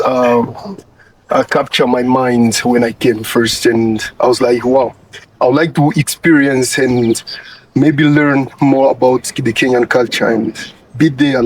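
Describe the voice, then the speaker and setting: A young male student answering slowly and hesitantly, with many fillers and restarts. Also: mid-range voice, steady tone, slight background noise, poor audio. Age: young adult. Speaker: international student.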